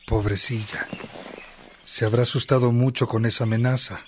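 A man's voice: mid-range voice, steady tone, moderate pace, lightly acted. A man playing a curious, sympathetic companion asking questions in a radio drama. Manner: inquisitive, sympathetic. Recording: loud noise, birdsong ambience. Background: birdsong.

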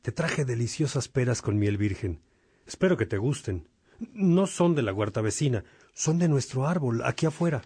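A man playing an earnest, fatherly monk and mentor in a radio drama, speaking in a measured, formal way. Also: medium pitch, lively intonation, a little mellow, husky, no echo, clean studio sound. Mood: affectionate.

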